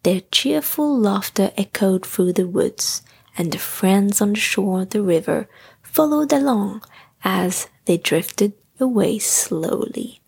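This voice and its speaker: A woman narrating a children's bedtime story in a gentle, breathy, husky voice. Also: medium pitch, animated, slow.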